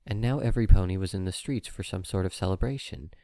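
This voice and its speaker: A man's voice: sits low, fast, mellow, dry recording, clean studio sound. A man reading a story aloud as an audiobook narrator, in scripted storytelling style.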